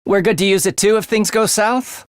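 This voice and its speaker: A man's voice: high-pitched, fairly even intonation, moderate pace, no room sound, clean studio sound, lightly acted. An actor playing a playful, morbid young male assassin in a video game, with breezy, teasing speech that turns theatrical. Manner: breezy, teasing slang turning breathy and theatrical. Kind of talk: English video game voice acting.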